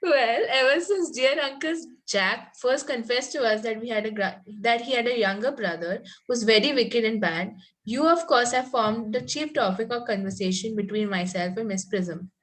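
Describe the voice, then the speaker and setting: A woman's voice: on the high side, normal speed, a bit bright, lightly acted, rough recording. A woman reading the part of a fanciful, coy Victorian young lady in an amateur table read, prim and earnest, with occasional stumbles. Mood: dreamy, matter-of-fact.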